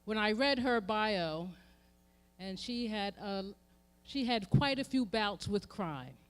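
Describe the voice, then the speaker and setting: A woman with an American accent delivers an impassioned sermon, using emphatic repetition and swelling from hushed to forceful. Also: mid-range voice, expressive, slow pace, clean tone. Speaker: reverend speaking at a memorial event. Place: live event before an audience.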